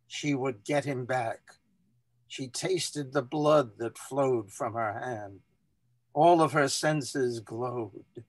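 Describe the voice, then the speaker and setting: Slow, theatrical man reading aloud. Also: mid-range voice, no room sound. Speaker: author reading a literary text. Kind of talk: dramatic literary reading.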